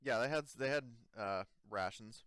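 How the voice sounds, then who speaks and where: Man playing halting administrator, smooth dry voice. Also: medium pitch, a bit bright, no room sound, natural voice. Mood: halting, uneasy. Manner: halting, hedging. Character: colony administrator, hesitant, evasive, pleading his case. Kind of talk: improvised tabletop roleplay dialogue.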